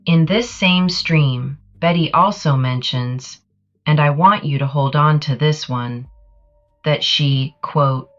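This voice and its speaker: A woman reading scripted commentary as a narrator, in a deadpan, dryly sardonic manner. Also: low-pitched, highs cut off. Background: music.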